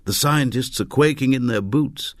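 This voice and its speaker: A man's voice: medium pitch, normal speed, dry recording, studio quality, voice pushed up, close to an everyday voice. A man voicing an irritable, scornful younger male air passenger in a story, with a snorting, sneering, indignant manner.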